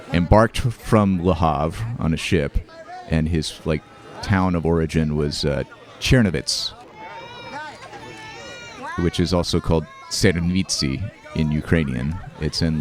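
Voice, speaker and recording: Very deep-voiced man musing over old videos. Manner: halting and reflective, with frequent fillers, restarts and soft laughs. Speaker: host. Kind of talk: casual commentary over home movies.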